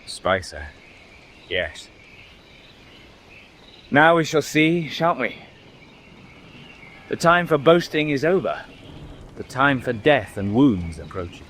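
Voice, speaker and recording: An actor playing an anxious, sceptical expedition doctor in a radio drama, brooding and wry. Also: male voice, mid-range voice, very animated, takes it slowly, pauses often, a bit bright, a little hiss or hum. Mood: bitter, brooding.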